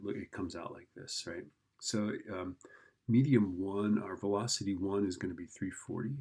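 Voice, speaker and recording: A man's voice: low-pitched, vocal fry, darkish tone, husky. A man teaching physics informally, working through a problem step by step and thinking aloud in a casual, check-in manner. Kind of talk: informal worked-problem explanation.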